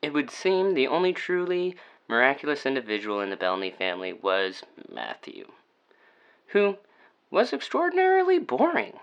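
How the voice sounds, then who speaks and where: A man's voice: medium pitch, wildly swooping pitch, normal speed, gravelly, a bit bright, clear, resonant tone, lo-fi sound, full character voice. A man playing a brooding, sardonic storyteller in an audio drama, narrating in a wordy, formal, theatrical way. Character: brooding, sardonic male storyteller of a dark tale.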